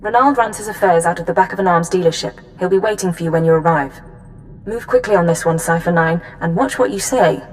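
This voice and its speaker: A woman playing a brisk female intelligence handler in a video game, giving crisp, businesslike briefings. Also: on the low side, a little hiss or hum, close to an everyday voice, low-quality recording.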